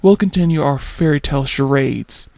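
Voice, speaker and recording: A man's voice: on the high side, normal speed, creaky, darkish tone, slight background noise. A man voicing a brusque male fairy-tale rival in an amateur comic dub, curt and direct.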